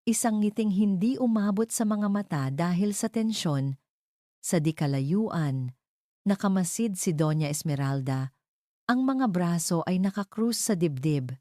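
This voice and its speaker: Low, mellow woman narrating a dramatic romance. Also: animated, unhurried, no room sound, clean studio sound. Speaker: story narrator.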